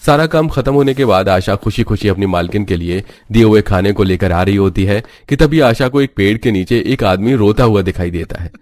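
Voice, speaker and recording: A man's voice: lowish voice, expressive, fairly quick, few pauses, a little mellow, no room sound. A man narrating a scripted cartoon story as the storyteller.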